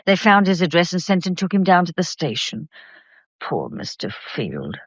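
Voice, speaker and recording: A woman's voice: lowish voice, wildly swooping pitch, normal speed, breathy edge, dry recording, highs cut off, exaggerated voice. A woman playing a bustling, motherly working-class English servant in a period audio drama, colloquial, fussing and comforting. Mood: grave, pitying.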